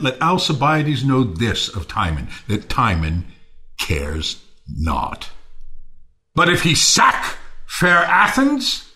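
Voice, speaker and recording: A high, wildly swooping, bitter misanthrope. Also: male voice, heavily acted. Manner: theatrical, swinging from quiet to declaiming. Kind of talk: Shakespearean audio play acting.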